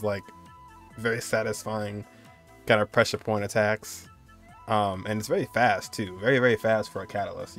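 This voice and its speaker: A young man with a fairly low voice talks casually through his reaction to a video as its host. Music plays faintly behind him. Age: young adult.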